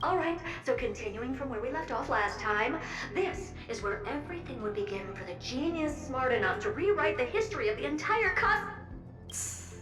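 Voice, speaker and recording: A woman voicing an excitable, adoring magic mirror spirit in a video game, with a playful, theatrical, gushing manner. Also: sits high, normal speed, roomy sound, far from the mic, music in the background. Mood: dramatic awe.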